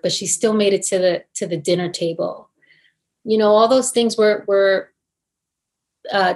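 A middle-aged woman from New Jersey, an actress answering interview questions in a thoughtful, hesitant way with many fillers and restarts. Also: medium pitch. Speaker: actress, guest.

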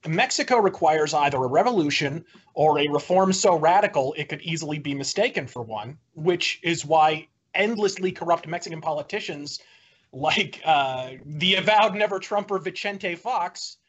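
A man's voice: a little high, animated, normal speed. A man making a wordy, florid and opinionated argument as a debater in a political debate. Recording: dull lo-fi audio.

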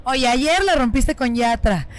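A woman's voice: sits high, animated, unhurried, slight background noise. A woman hosting a podcast, teasing and exclamatory as she interviews musicians. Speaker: podcast host. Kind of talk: bubbly festival interview chat.

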